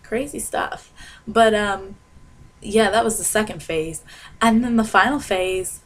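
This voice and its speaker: A woman's voice: mid-range voice, a little slow, low-quality recording. A young woman from Maryland, telling a dramatic, self-mocking comic rant to her webcam in a small bedroom. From Maryland, USA. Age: young adult. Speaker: vlogger. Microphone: webcam at desk.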